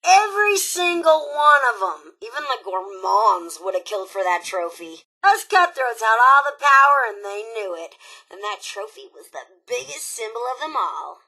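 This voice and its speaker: Sassy female robot raider, video game character, bright forceful tone, husky edge, cocky, flighty, teasing, slangy, dramatic emphasis. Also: on the high side, very animated, normal speed, exaggerated voice, low-quality recording. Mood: knowing, smug.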